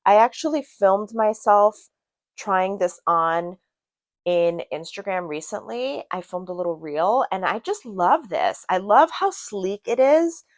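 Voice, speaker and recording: A woman's voice: medium pitch, no room sound. A woman from Brazil giving a casual product review to camera in a chatty, emphatic way, repeating words for emphasis. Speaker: beauty product reviewer and vlogger.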